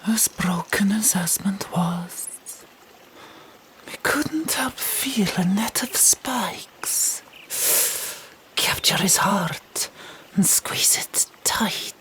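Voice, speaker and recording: A woman reads a scripted story in a soft whisper, ASMR style. She takes it extremely slowly, phrasing each part deliberately and with a sense of drama. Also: medium pitch. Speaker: audiobook narrator.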